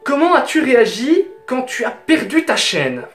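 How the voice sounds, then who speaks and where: A man's voice: very high-pitched, huge pitch swings, moderate pace, fairly bright tone. An eighteen-year-old man with a Belgian French accent from Hainaut, chatting casually and emphatically to camera. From southern Belgium. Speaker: YouTuber answering viewer questions. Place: small bedroom, indoors. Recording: roomy bedroom sound, music underneath. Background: music.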